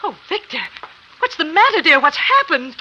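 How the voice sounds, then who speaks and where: An actress in a 1950s radio drama playing a scientist's anxious, caring wife with an emotive, insistent, questioning manner. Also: female voice, very high voice, very animated, normal speed, pushed and bright, full character voice. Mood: alarmed. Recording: dull, faintly noisy 1950s radio recording.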